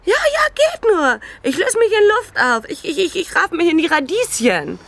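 An actress playing a desperate, unstable homeless widow in a German radio crime drama, murmuring haltingly to herself. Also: female voice, very high voice, sing-song, fairly bright tone, a little hiss or hum, heavily acted. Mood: bitter, hysterical.

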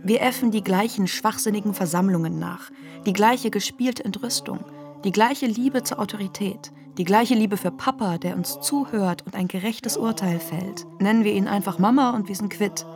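An actress playing an embattled, defiant feminist internet activist, delivering a sardonic, polemical manifesto in a radio drama with a husky voice. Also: female voice, mid-range voice, moderate pace, a little mellow, soft background music, lightly acted. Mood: sardonic, accusing.

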